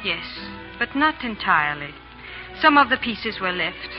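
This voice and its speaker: A woman's voice: medium pitch, sing-song, unhurried. An actress in a 1930s radio drama playing an anxious society lady, earnest and dramatic. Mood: uneasy, confiding. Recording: dull 1930s radio recording, music behind.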